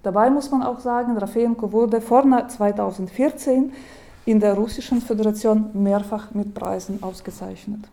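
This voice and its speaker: A woman giving a lecture, speaking in a measured way, with hesitations and restarts, and reading quotations aloud. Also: medium pitch, warm tone.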